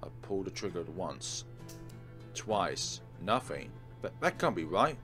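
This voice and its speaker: Male let's play host, lowish voice, narrating a visual novel with casual asides over game music. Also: sing-song, takes it slowly, a bit bright. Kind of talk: dramatic read-aloud of a visual novel with casual commentary.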